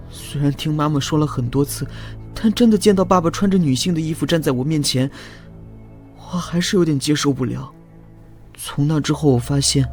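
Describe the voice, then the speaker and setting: A man playing a troubled teenage boy full of self-loathing, in an animated drama. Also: a little high, close to an everyday voice. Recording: loud background music under the voice.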